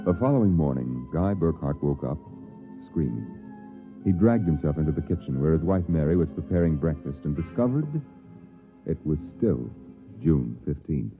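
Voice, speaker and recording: A man's voice: very deep voice, expressive, normal speed. An American man as the ominous storyteller of an eerie 1950s radio drama, narrating in a dramatic scripted style. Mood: grave, suspenseful. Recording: muffled vintage radio, music behind.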